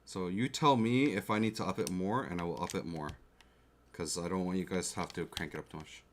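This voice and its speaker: Chatty man with a lowish voice. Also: a little fast, a little hiss or hum. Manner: chatty, checks in with viewers often. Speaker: livestreamer building a keyboard. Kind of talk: casual livestream chat. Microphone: desk mic close to the keyboard. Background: faint keyboard clicks and typing.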